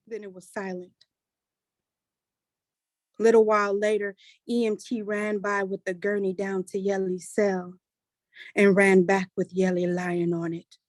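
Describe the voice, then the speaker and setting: A woman's voice: mid-range voice, no room sound, clean studio sound, close to an everyday voice. A woman acting an anxious, distraught sister in a staged reading of a play, with earnest, emotional delivery. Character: anxious, distraught younger sister relaying bad news.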